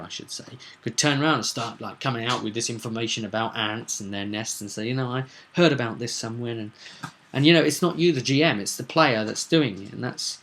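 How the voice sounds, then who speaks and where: A man's voice: medium pitch, a bit bright. A man hosting a tabletop roleplay vidcast, talking informally and discursively as a game master, often adding tag questions.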